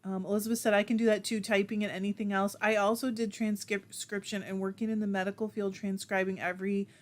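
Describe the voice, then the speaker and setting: A woman at a middle pitch hosts a relaxed livestream. She reads viewer comments aloud and answers them in a chatty, self-deprecating way. Laughter keeps slipping into her talk. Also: quick pace. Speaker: livestream host.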